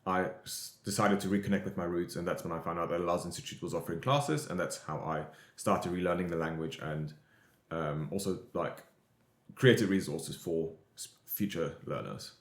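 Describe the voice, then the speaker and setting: A man's voice: deep voice, normal speed, reverberant. A man hosting a podcast in casual, conversational talk. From Europe. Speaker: podcast host.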